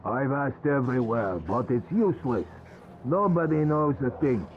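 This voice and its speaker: A man's voice: medium pitch, animated, normal speed, highs cut off, a little hiss or hum, natural voice. A man playing a frustrated male stalker in a video game, giving a report.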